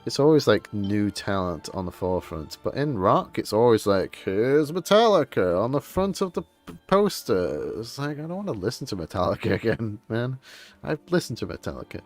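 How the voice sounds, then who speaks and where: A man's voice: lowish voice, big pitch swings, normal speed, faint music behind. A man streaming a game and chatting casually, rambling through his opinions in an informal, grumbling way.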